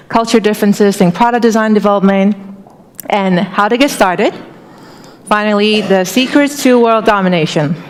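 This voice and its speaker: Woman giving a talk in a big hall. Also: medium pitch. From Taiwan. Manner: chatty, engages audience with questions. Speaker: conference speaker. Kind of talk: conference talk. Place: large conference hall. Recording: hall reverb.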